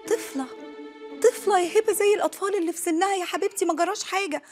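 An actress playing a calm, reasonable neighbor woman who coaxes and lectures in an Egyptian accent. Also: very high voice, very fast, faint music behind. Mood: coaxing. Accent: Egyptian Arabic. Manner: coaxing, lecturing. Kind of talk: comedy sketch.

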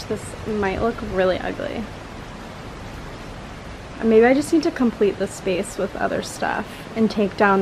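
A young woman in her twenties chatting casually as she vlogs about decorating her apartment, thinking out loud with lots of filler words. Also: medium pitch, slight background noise, rough recording. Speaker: lifestyle vlogger. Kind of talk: casual vlog chat to camera. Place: apartment balcony, car and kitchen.

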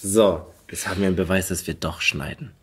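A man's voice: sits low, steady tone, fairly quick, slightly rough. A man chatting casually as the host of a podcast. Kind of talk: casual chat.

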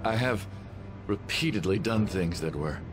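Broken, remorseful teacher confessing. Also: male voice, lowish voice, animated. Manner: halting, solemn confession. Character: disgraced male teacher, broken and remorseful, confessing his crimes. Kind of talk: dubbed video game drama acting. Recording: music behind the voice.

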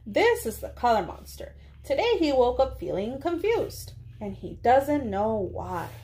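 A young woman, a preschool teacher, speaking to small children in a deliberate read-aloud style with drawn-out words. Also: a little high, big pitch swings, a little mellow. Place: small indoor room.

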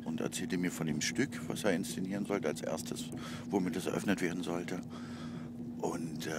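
A stage actor from Berlin answering interview questions in a halting way, full of fillers and restarts, with loud noise in the recording. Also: male voice, low voice. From Berlin, Germany. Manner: halting, many fillers and restarts, soft trailing asides.

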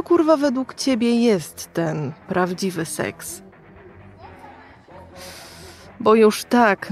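A brash woman of the dystopian underground, slow-talking and gravelly. She is a crude, mocking streetwalker in a radio drama, taunting in thick slang over music. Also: medium pitch. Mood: mocking, incredulous.

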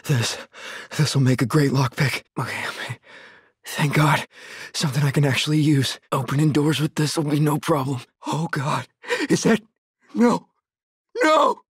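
An actor playing a frightened but determined young male survivor in a horror video game, with breathy, urgent delivery. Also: fairly high pitch, no echo, studio quality. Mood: uneasy, resolute, then horrified, grieving. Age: young adult. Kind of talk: dramatic game voice lines.

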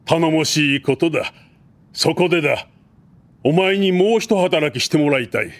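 An actor playing a dignified middle-aged king who speaks in a formal, measured way in an anime drama CD. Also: on the high side, lively intonation. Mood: warm.

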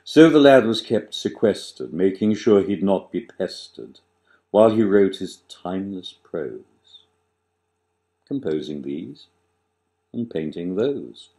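A man in his sixties, an author reciting his own satirical rhyming verse with dramatic, deliberate emphasis and sudden swells and drops. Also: sits low, very animated, dark tone. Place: small living room, on a sofa, indoors.

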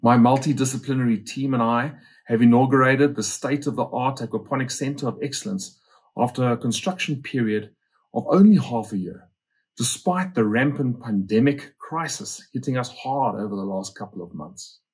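Husky guy in his 40s doing a scripted promo talk about his business. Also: mid-range voice, normal speed, darkish tone. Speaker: company founder and farmer presenting his farm.

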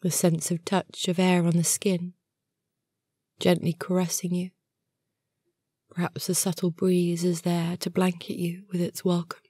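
A woman guiding a meditation in a soothing, gentle and unhurried manner, soft and breathy at the ends of phrases. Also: fairly low pitch, completely flat delivery, dry recording, studio quality. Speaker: yoga nidra meditation guide, channel host. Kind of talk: guided meditation narration.

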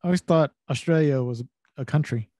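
A man's voice: fairly high pitch, a little fast, darkish tone, no room sound. Teasing man on a dry podcast mic. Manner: teasing, joking, swears freely. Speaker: podcast co-host. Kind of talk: casual chat. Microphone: video call with desk microphone.

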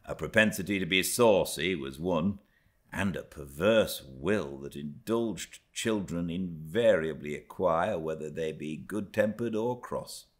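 A middle-aged British man reading classic literature aloud as an audiobook narrator, in a measured, theatrical, expressive style. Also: low voice. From Britain.

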